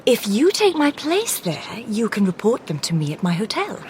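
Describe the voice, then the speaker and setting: An actress in a Victorian radio drama playing a shrewd, composed lady detective with dry wit, measured and crisp, dropping into low confiding asides. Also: wildly swooping pitch, darkish tone, slight background noise.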